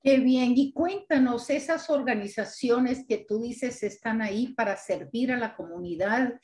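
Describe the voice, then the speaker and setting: A woman's voice: on the high side, unhurried, darkish tone. An older woman hosting an interview over a video call, asking encouraging questions. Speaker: host interviewer.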